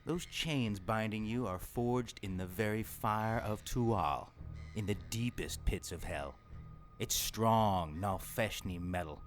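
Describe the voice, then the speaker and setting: Man playing a slow, gloating demon villain. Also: fairly low pitch, faint music behind. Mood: smug menace. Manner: pompous, menacing, theatrical, deliberate delivery. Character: gloating male demon villain posing as human lover. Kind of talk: audio drama acting.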